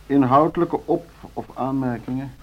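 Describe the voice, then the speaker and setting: A man's voice: medium pitch, normal speed, close to an everyday voice. An actor playing a genial board chairman in a radio drama, running a meeting in a courteous, formal, procedural way. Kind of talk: naturalistic radio drama dialogue. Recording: dull, noisy old radio play recording.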